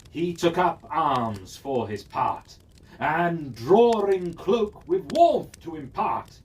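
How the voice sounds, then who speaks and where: A storyteller reading a rhyming fairy tale for children in a measured, sing-song cadence. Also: medium pitch. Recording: distant mic, faint hiss.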